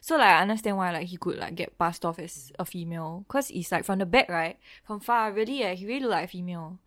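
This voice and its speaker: A woman's voice: on the low side, quick pace, a bit bright. A young woman with a Singaporean English accent hosting a casual chat, talkative and giggly, peppering her speech with local slang.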